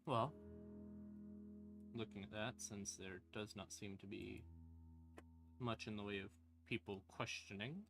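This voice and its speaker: A man's voice: on the low side, steady tone, measured pace, vocal fry, pauses often. A man hosting a casual livestream, talking informally in a rambling way with hesitant, trailing asides. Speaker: streamer hosting a lore question-and-answer stream. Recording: ambient horror music playing behind. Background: creepy ambient backing music.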